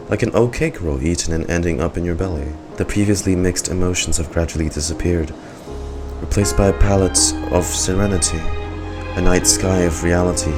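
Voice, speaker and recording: A calm man streaming a video game, reading its text aloud with casual asides and small stumbles. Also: deep voice. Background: game music.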